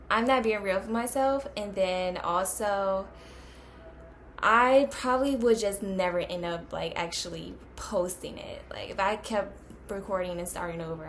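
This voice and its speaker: A woman's voice: mid-range voice, a little hiss or hum. A woman of twenty talking casually to the camera as a vlogger, with many fillers. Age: 20s.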